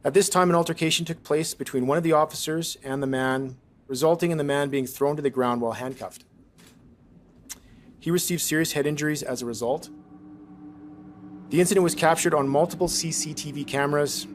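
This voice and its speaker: A man's voice: a little high, brisk, a little hiss or hum. A man, a police spokesman reading a prepared statement in an official, impersonal manner.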